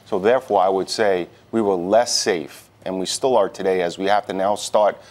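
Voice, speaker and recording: A man answering questions as a guest in a live TV news interview, speaking haltingly with repeated words. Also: low-pitched, fairly even intonation, a little mellow. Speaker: union president, interview guest.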